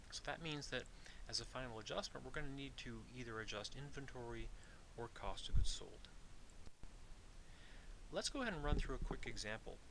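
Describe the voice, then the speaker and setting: A man giving an explanatory lecture as an instructor, methodical and deliberate, restating his points. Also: mid-range voice, background noise. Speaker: accounting instructor.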